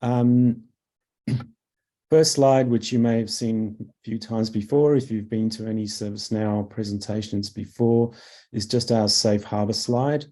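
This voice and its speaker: A man with a croaky voice from a cough, presenting a webinar as a product manager, halting with fillers and clearing his throat. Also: on the low side, fairly even intonation, mellow.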